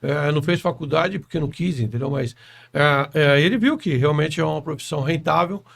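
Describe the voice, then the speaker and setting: A man's voice: a little high, brisk, creaky. A middle-aged man, a carpenter guest, chatting earnestly on a podcast and often checking in with "understand?". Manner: earnest, keeps adding "entendeu?" and "tá?". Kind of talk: casual podcast chat.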